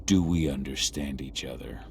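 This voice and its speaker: An actor playing a gruff, fiercely protective vigilante in a video game, speaking in a blunt, commanding, intimidating way. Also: male voice, very deep voice, normal speed, background noise. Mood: menacing. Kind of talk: video game cutscene acting. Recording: music behind the voice.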